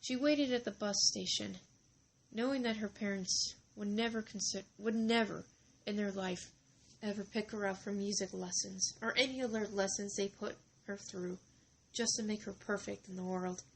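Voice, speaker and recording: A woman narrating a story informally, halting and deliberate, with dramatic pauses between short phrases. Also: medium pitch, steady tone, gravelly, a little hiss or hum, poor audio.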